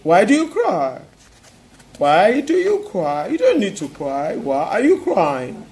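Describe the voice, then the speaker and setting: Narrator doing a curious little man, gentle questions, lively slow voice. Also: sits high, full character voice. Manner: soft, repetitive questioning.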